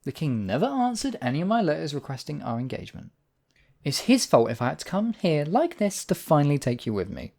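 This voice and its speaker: A man's voice: a little high, big pitch swings, a little fast, dark tone. A man playing a smug, obsessive demon king in a theatrical audio roleplay, silky and possessive in his wooing. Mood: irritated, threatening. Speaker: voice actor. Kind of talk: theatrical roleplay monologue, ASMR audio drama.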